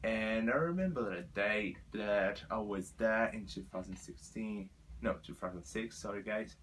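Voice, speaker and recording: A man in his twenties from Brazil with a Brazilian Portuguese accent, speaking halting second-language English as he tells his life story to the camera with many fillers. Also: lowish voice, fairly bright tone, a little hiss or hum, low-quality recording. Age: 20s. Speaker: vlogger.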